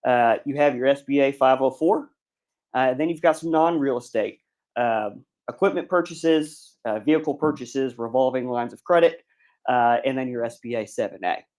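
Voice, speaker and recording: A man's voice: medium pitch, normal speed, darkish tone. Man lecturing on commercial lending. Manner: plain explanatory, many ums and uhs. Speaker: bank commercial lender, guest speaker. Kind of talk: seminar lecture. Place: seminar room.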